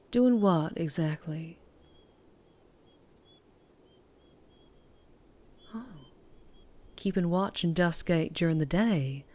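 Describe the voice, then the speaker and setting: A woman playing a mysterious, wary and teasing female vampire biker with a Southern US accent, in an intimate ASMR roleplay with breathy whispers. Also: a little mellow, heavily acted. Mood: guarded, probing. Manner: slow, low, teasing, lapsing into breathy whispers. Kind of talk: ASMR roleplay, scripted character acting.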